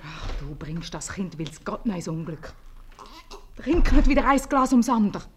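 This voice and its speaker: An actress with a Swiss German accent playing a curious innkeeper's wife in a 1950s radio comedy. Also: female voice, on the low side, very animated, moderate pace. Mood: chiding.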